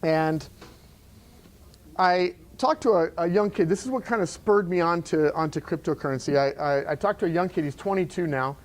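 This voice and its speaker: A middle-aged man speaks to a live audience in an event room. His voice sits a little high for a man. He rambles in a friendly, conversational way, full of fillers and restarts. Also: normal speed. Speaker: entrepreneur giving a talk.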